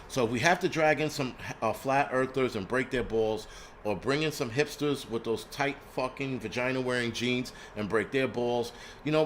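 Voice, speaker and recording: A man's voice: mid-range voice, a little hiss or hum. A man, hosting a casual live stream in a blunt, profane, rambling rant. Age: 40s. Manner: rambling with frequent restarts. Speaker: host.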